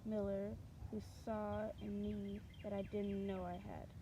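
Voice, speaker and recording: A woman reads a storybook aloud at a medium pitch. Her delivery is halting, with repeated words and restarts as she works through each sentence. Clear noise runs through the recording. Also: completely flat delivery, warm tone. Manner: hesitant, repeats and restarts words while reading.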